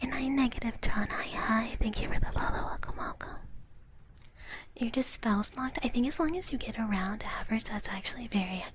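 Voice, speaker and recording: A woman speaking in a soft, breathy whisper close to the microphone, chatting casually and playfully as a livestream host and often repeating her phrases. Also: a little high, brisk. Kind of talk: live ASMR whispering, casual chat with viewers. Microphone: close ASMR microphone.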